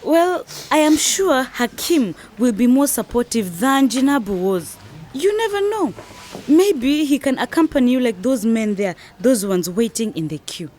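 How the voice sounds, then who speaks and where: A woman's voice: fairly high pitch, very animated, unhurried, darkish tone. A woman playing a determined, persuasive young wife in an educational radio drama, advising her friend with assured emphasis.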